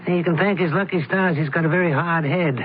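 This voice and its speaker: An actor playing a shrewd, methodical male criminologist, deliberate and authoritative, in 1970s radio drama style. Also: a little high, close to an everyday voice. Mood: musing. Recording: noisy, narrowband old radio broadcast.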